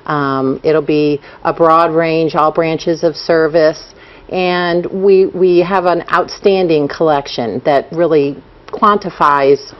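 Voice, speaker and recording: A woman who is a museum director, speaking politely with some "um" pauses in a TV interview. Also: low voice, fairly even intonation. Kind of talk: TV studio interview. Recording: dull broadcast audio.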